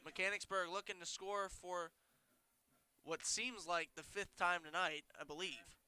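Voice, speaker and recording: A young man calling high school football play-by-play, a little congested and nasal, getting quick and excited on big plays. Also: smooth. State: congested, says he sounds nasal. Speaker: play-by-play announcer.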